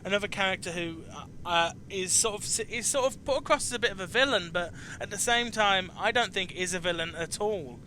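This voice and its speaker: A man hosting a casual chat about video games, repeating words haltingly as he searches for his phrasing. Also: high-pitched, bright, forceful tone, slight background noise. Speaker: chat show host.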